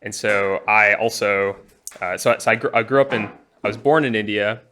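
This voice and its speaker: A young man from Alabama in the United States giving a personal testimony, often halting and restarting his phrases. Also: on the low side, sharp tone, a little hiss or hum. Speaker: church member giving testimony.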